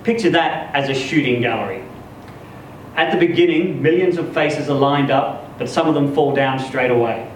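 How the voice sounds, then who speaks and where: A man reads literary fiction to a live event with expressive, dramatic storytelling. His mid-pitched voice comes from a distance in a very echoey room, with clear background noise. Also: moderate pace. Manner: expressive storytelling, acts out dialogue. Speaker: story reader.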